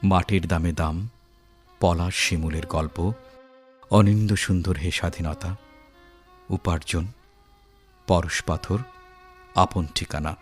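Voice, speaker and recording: A man's voice: low-pitched, steady tone, slow, long pauses. A man with a Bangladeshi accent reading a formal, scripted radio announcement as a presenter. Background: music.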